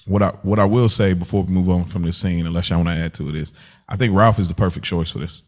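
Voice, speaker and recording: A man's voice: deep voice, steady tone, quick pace. A man hosting a podcast, casually reviewing television in an informal, slangy way. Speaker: podcast host.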